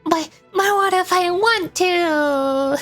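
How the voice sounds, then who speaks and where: A woman's voice: very high-pitched, extremely slow, fairly bright tone, soft background music. A woman chatting casually as a game streamer, playful and self-conscious, with a hesitant way of talking. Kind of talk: casual livestream chat while voicing game dialogue.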